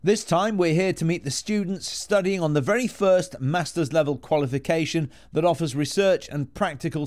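A man's voice: on the high side, darkish tone. A man hosting a podcast, presenting a prepared introduction and putting questions to guests. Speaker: podcast host. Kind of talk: scripted podcast introduction and interviewing.

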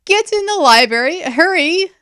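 Woman as a stern man barking orders, forceful. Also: extremely high pitch, lively intonation, moderate pace, dry recording, clean studio sound, voice pushed up. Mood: stern, urgent. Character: stern, gruff man ordering children to safety.

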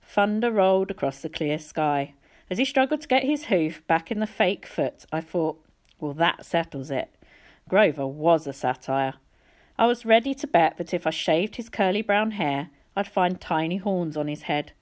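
Low-pitched woman reading a story. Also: animated, moderate pace. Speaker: audiobook reader. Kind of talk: storybook narration, reading aloud.

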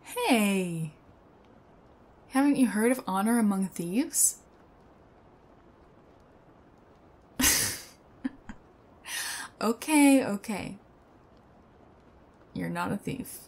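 A woman's voice: medium pitch, animated, drawn-out words, long pauses, a little mellow, heavily acted. A young woman playing a nervous, chatty, strange young female thief in an intimate ASMR roleplay, with a hesitant, rambling, awkward delivery. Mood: wry, soft.

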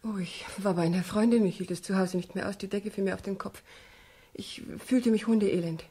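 A polite, anxious young woman in a radio crime drama. Her voice sits at a middling pitch and is extremely breathy and soft-edged. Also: fairly even intonation, brisk. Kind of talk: German radio drama acting.

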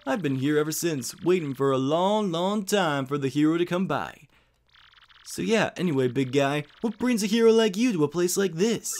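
Man voicing a lively high-pitched cocky trapped spirit. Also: normal speed. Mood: chummy boasting. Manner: cocky, slangy, chummy. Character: brash chummy cursed spirit trapped in a box. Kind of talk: fan-dubbed video game dialogue.

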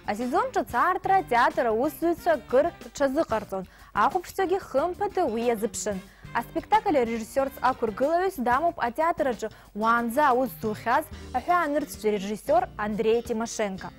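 A young female presenter with a fairly high voice reads the news in a steady, crisp manner. Her lapel mic sits in a TV studio, with faint music running beneath her. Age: young adult. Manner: crisp, measured newsreader delivery. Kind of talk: scripted news reading and studio interview. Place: TV studio with screen backdrop.

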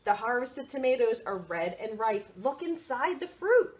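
A woman reading a picture book aloud for children's storytime, expressive and sing-song, prompting young listeners with questions. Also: fairly high pitch, moderate pace.

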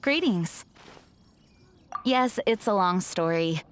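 A woman voicing a dutiful young female knight commander in a game, formal, earnest and measured. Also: mid-range voice, lively intonation, slight background noise. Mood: composed. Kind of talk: English game cutscene acting.

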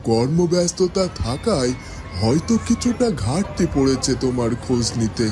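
A man's voice: mid-range voice, very animated, moderate pace, music in the background, close to an everyday voice. A man voicing a weary, evasive king who placates his queen in a fairy-tale cartoon. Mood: placating, weary.

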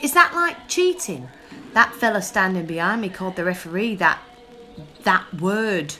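A woman's voice: mid-range voice, lively intonation, normal speed, sharp tone, soft background music. A woman playing a bemused, blunt girlfriend in a radio play, questioning in a matter-of-fact way. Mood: puzzled. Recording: faint train noise behind.

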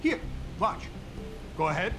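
High-voiced eager weapons scientist. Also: male voice, very animated, measured pace. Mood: proud, eager. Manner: formal, explanatory. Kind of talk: video game voice acting. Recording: game audio with music behind.

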